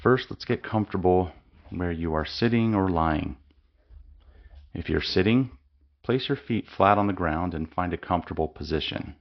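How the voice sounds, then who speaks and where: A man leading a guided mindfulness meditation with slow, calm, soothing instructions and long pauses. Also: low-pitched, lo-fi sound.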